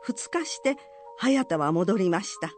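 A woman narrates a folk legend in formal, polite language. The voice sits at a middle pitch with animated rises and falls. The pace is slow and measured, with music underneath. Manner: formal, polite, expressive storytelling.

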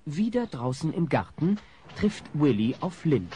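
An actor narrating a family comedy radio play as a wry storyteller. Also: male voice, on the high side, sing-song, measured pace, mellow, a little hiss or hum.

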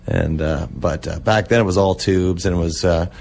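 Low-voiced man reminiscing casually. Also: normal speed, gravelly, darkish tone, slight background noise. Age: 40s. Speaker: interview guest, old-time radio collector.

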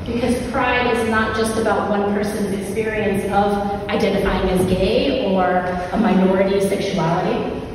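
A middle-aged woman giving a conversational, reflective sermon in a large church hall, gently inviting listeners and repeating phrases for emphasis. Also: on the high side. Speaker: preacher. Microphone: distant camera in the audience. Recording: echoey hall from far off, some noise.

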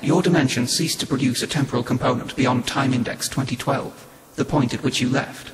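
A man playing a calm, formal, all-knowing otherworldly being in a sci-fi audio drama. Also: medium pitch, lightly acted. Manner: formal, measured, speaks as "we". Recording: echoey, music behind.

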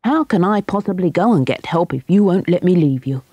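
Kindly, level-headed boy, voiced by a woman reader; calm and reasonable, slightly husky. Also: on the low side, a little fast, no echo. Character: sensible, kindly young boy.

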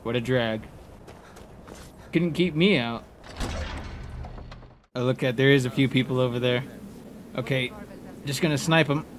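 A man's voice: mid-range voice, a little slow, pauses often. A man giving casual let's play commentary, playful and taunting his enemies as he exclaims. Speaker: gamer playing and commentating. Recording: clearly noisy background with game ambience.

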